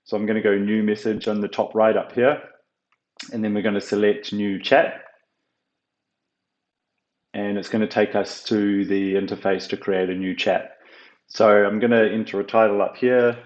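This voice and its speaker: A man with a fairly low voice talks through a software walkthrough. He explains step by step in a halting, unscripted way, full of ums and uhs. The recording is roomy and echoing, with a slightly dull tone. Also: little pitch movement. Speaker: software tutorial presenter. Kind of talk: unscripted screen-share walkthrough.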